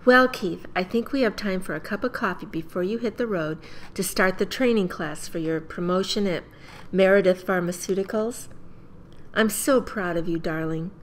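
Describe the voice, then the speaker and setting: An American woman playing a brisk, caring mother of a young family in a radio play. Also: mid-range voice, slight background noise, natural voice. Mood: fond, proud. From United States.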